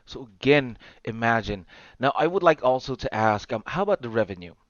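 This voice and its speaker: A man's voice: mid-range voice, lively intonation, fairly quick, no room sound, muffled recording. A man hosting a podcast interview, upbeat and encouraging, often repeating his phrases. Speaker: podcast host. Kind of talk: interview questions.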